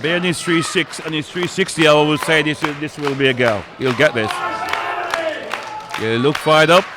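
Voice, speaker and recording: Brief: male sports co-commentator, agreeable and excitable, heavy crowd and background noise. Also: a little high, normal speed. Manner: agreeable, backs up his partner, excited.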